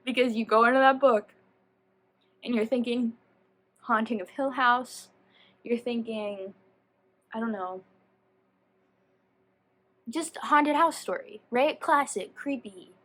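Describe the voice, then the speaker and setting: A woman giving a casual, chatty book review as a vlogger, animated and slangy, often rambling and interrupting herself. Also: a little high, slow, pauses often.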